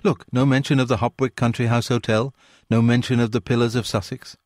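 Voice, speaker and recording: A man's voice: medium pitch, flat delivery, fairly quick, no room sound, clean studio sound, close to an everyday voice. A man voicing a curious amateur woman sleuth who talks in an earnest, questioning way, in an audiobook. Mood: indignant.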